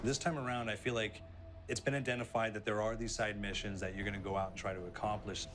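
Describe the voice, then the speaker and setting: A man with a fairly low voice talks about game making in an interview. His delivery is conversational and reflective, with music clearly playing underneath. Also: flat delivery, fast, fairly bright tone. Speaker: game developer. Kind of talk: behind-the-scenes interview. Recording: clear music bed behind.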